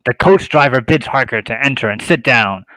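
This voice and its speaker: A man's voice: mid-range voice, moderate pace, sharp tone, dry recording, rough recording. A man reading stage directions as scripted narration for an amateur drama table read.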